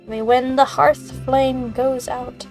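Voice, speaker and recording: Slightly high-voiced, unhurried woman streamer. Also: fairly even intonation, darkish tone, soft background music, low-quality recording. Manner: giggly, scatterbrained. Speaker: game streamer. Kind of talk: casual playthrough chat with giggly reactions.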